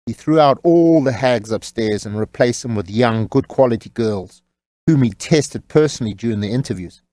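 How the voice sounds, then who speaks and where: A man narrating a scripted audiobook story in a measured, wry storytelling manner. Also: mid-range voice, animated, warm tone, no echo.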